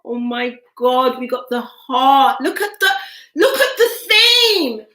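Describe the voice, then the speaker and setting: A woman giving a live tarot reading from home on a laptop mic, veering from excited outbursts to soft murmuring. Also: high-pitched, slow pace. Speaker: tarot reader. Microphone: laptop microphone. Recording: echoey room, laptop mic.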